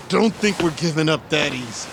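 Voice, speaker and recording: Casting: cocky, threatening game gangster, slow drawl, higher-pitched, volatile swings, music underneath. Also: male voice, background noise. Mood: defiant. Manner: taunting, swearing, slangy. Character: hot-headed male yakuza thug, cocky and threatening. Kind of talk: video game cutscene acting.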